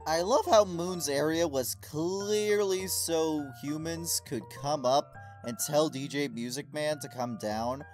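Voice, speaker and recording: Woman playing a low-pitched, curious interviewer. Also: slow, few pauses, darkish tone, soft background music. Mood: casual, interested. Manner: inquisitive, casual. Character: curious, casual female interviewer quizzing animatronics. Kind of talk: comic dub character acting.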